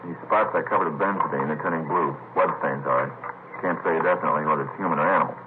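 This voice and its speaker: An actor playing an easygoing, matter-of-fact police investigator in a low-key, plain-spoken way in a vintage radio crime drama. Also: male voice, rapid-fire. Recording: muffled, noisy vintage radio recording.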